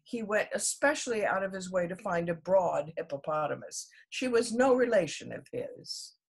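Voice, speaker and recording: A woman storyteller reading a children's story aloud expressively, in a husky voice. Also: fairly low pitch, animated, moderate pace.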